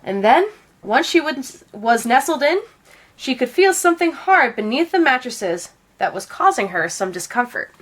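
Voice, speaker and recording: A woman reading a children's storybook aloud as an expressive narrator. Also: sits high, normal speed. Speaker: storybook reader.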